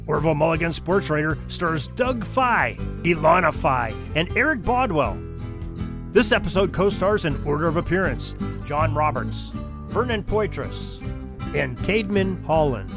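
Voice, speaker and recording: A man's voice: fairly high pitch, big pitch swings, unhurried, over music. A man reading scripted podcast credits as an announcer.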